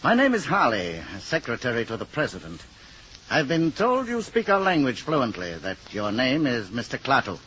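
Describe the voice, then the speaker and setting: An actor playing a polite, uneasy presidential secretary in an old radio drama, diplomatic and hesitant. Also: male voice, medium pitch, wildly swooping pitch, moderate pace, pushed and bright. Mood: polite, formal. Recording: muffled, noisy old radio recording.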